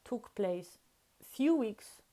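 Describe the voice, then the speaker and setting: A woman's voice: a little high, animated, drawn-out words, dark tone. A woman with a Polish accent, speaking as a vlogger in an earnest, reflective talk to camera and repeating words for emphasis.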